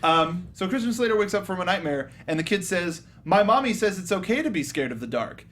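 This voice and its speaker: A man's voice: sits high, expressive, a little fast, fairly bright tone. A man hosting a talk show, bantering casually about a movie. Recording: noticeable background noise.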